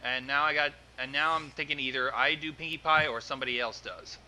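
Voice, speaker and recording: A man's voice: medium pitch, steady tone, quick pace, bright, forceful tone, slight background noise, low-quality recording. A man bantering on a casual livestream as co-host, melodramatic and exasperated in his complaints.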